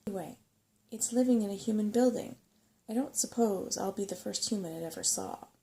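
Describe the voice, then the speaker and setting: A woman's voice: medium pitch, a little fast, gravelly, warm tone, close to an everyday voice. Woman reading as Ben, a sceptical young boy. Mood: defiant. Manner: sceptical, questioning. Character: human boy, doubtful, questioning storybook adventurer.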